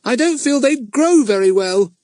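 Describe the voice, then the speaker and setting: A man's voice: very high-pitched, expressive, moderate pace, a little mellow, dry recording, clean studio sound, voice pushed up. Man doing a fussy young boy. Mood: wary.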